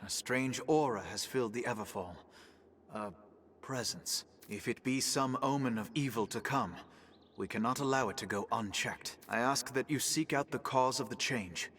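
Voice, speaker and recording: An actor playing a solemn keeper of an ancient gateway in a fantasy game, speaking in formal, archaic, grave phrases. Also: male voice, mid-range voice, normal speed, fairly bright tone, a little hiss or hum, lightly acted. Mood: grave, pleading. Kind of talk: scripted fantasy game dialogue.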